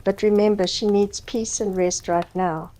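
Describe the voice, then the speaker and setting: A woman voicing a stern but compassionate infirmary matron in a fan audio story, gentle, firm and a little weary. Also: mid-range voice, fairly even intonation, normal speed, lightly acted, low-quality recording.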